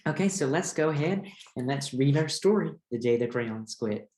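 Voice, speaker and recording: A man's voice: medium pitch, lively intonation, moderate pace, darkish tone. A man reading a picture book aloud as a teacher, guiding listeners with questions. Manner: instructive, addressing listeners as a group. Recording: roomy echo with clear background noise.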